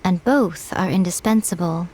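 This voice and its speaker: An actress voicing a wise, poised and gently teasing priestess in a video game, measured and knowing, with music behind. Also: female voice, on the low side, a little hiss or hum, natural voice. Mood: solemn.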